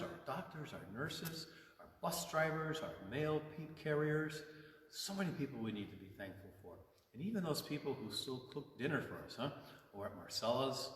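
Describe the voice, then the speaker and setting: A man's voice: medium pitch, fairly bright tone. A man, a priest, teaching children informally about faith in simple words, with repetition and frequent checking questions. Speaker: priest hosting a children's church storytime. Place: inside a large church. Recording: very echoey church, long reverb, faint noise.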